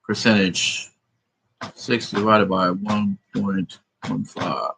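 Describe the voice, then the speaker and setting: A man's voice: fairly low pitch, steady tone, slow, fairly bright tone, slight background noise, low-quality recording. A man rambling in a profane, meandering monologue, thinking aloud as he works through sums. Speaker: vlogger.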